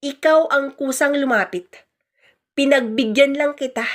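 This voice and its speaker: A woman's voice: high-pitched, a little slow, fairly bright tone, voice pushed up. A woman voicing a blunt, self-justifying husband who is fed up with his marriage, in a radio drama style. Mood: scornful, defiant. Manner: defiant, blunt, scornful.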